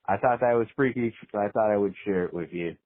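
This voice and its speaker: A man's voice: lowish voice, fast, dry recording. Male caller, casual rambling storyteller, hesitant, filler-heavy, narrow phone-line audio. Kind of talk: casual personal anecdote. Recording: tinny phone voicemail.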